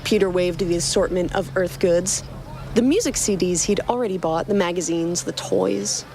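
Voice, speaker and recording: A woman's voice: on the low side, moderate pace, dark tone, slight background noise. A woman reading scripted fiction as the narrator of a podcast.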